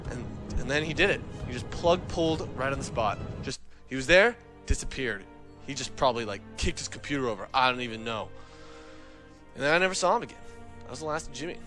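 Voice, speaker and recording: A man's voice: mid-range voice, expressive, fast, over music. A man telling a comic gaming anecdote in a casual, profane, dramatic and exasperated way. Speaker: comic storyteller on a gaming talk show.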